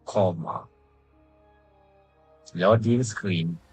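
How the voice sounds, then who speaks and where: A man's voice: low voice, very slow, a little mellow, breathy edge, faint music behind. A man casually commenting while playing a game, echoing lines offhandedly. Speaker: game streamer. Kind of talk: casual commentary over gameplay.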